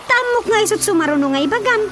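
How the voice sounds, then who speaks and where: A woman with an Ilocano Filipino accent playing an insistent, teasing young rural woman in a comedic radio drama, with expressive delivery. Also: extremely high pitch, fast talker, noisy background. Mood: insistent, conversational.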